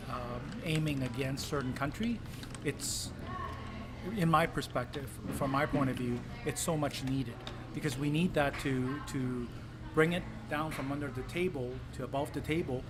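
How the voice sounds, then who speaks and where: Man, politician in an interview, earnest, halting, somewhat high-pitched, noisy ambience. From Hong Kong. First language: Cantonese. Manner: halting, many fillers, earnest. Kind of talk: interview answers.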